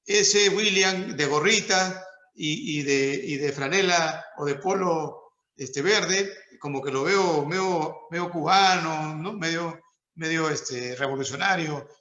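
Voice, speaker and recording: A man's voice: fairly high pitch, takes it slowly, sharp tone, lo-fi sound. A male interview host who talks conversationally and hesitantly, with many fillers. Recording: roomy echo.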